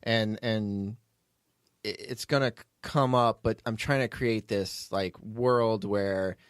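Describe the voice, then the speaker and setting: A man's voice: on the low side, fairly even intonation, takes it slowly, clear, resonant tone, dry recording, clean studio sound. A man hosting a podcast in casual, unscripted chat, talking informally in a rambling way and often restarting his own sentences. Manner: rambling, informal, self-interrupting. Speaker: podcast host, writer and producer.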